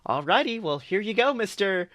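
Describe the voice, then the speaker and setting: A man's voice: high-pitched, sing-song, normal speed, a bit bright, voice pushed up. A man reading aloud and voicing a panicked young shop clerk, lifting his voice into a raised, pleading tone. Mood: polite.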